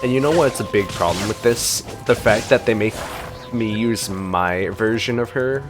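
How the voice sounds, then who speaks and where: A man's voice: on the low side, normal speed, over music. A man giving casual, blunt gameplay reaction commentary as a streamer, partly muttering to himself. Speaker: gaming streamer.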